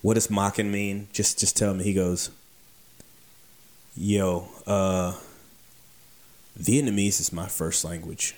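A man with a somewhat low voice spins a casual workplace anecdote. He acts out each exchange, voicing the other people as he goes. His delivery is animated and conversational. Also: slow, long pauses. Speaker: beer delivery storyteller.

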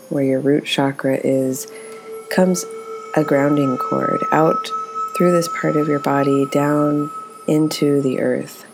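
A woman leading a guided meditation as its teacher, speaking in a gentle, soothing, unhurried way. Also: low voice.

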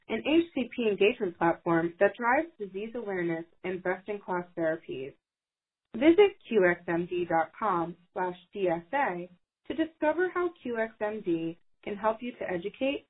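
Breathy woman host over a phone line. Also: medium pitch. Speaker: podcast host. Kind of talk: podcast hosting and sponsor read.

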